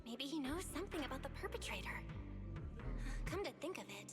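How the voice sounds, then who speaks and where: A woman's voice: high voice, sing-song, a little fast. A woman playing a curious, thoughtful young female character in scripted video game dialogue. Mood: curious, puzzled. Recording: reverberant, under loud game music. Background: loud game music.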